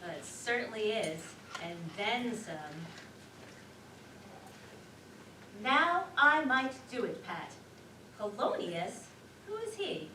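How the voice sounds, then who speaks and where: An actress on stage playing a scatterbrained, chatty wife, dithering yet sure of herself, with emphatic theatrical delivery. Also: female voice, fairly high pitch, big pitch swings, a little slow, bright, forceful tone, exaggerated voice. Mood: musing, chatty. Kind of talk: live one-act stage play acting. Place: theater stage, picked up from a distance. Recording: roomy, distant, noisy theater recording.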